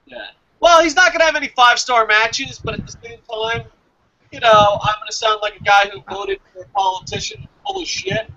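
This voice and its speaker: A man's voice: very high-pitched, expressive, a little fast, sharp tone, lo-fi sound. An American man chatting casually and emphatically about his opinions as a review show host, swearing freely. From New Jersey, United States.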